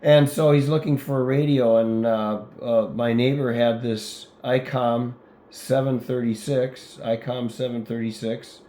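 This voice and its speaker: An American man makes casual ham radio conversation in a soft, dark-toned voice. His delivery is halting and conversational, slipping into formal call-sign talk. The room around him adds clear echo. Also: medium pitch, steady tone. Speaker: amateur radio operator. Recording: roomy echoing sound.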